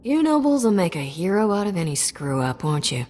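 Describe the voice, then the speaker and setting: An actress playing a cocky, wisecracking smuggler captain in a video game, sardonic and confident. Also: female voice, fairly low pitch, big pitch swings, brisk, a little mellow. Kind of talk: video game cutscene acting. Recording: long reverb, faint music.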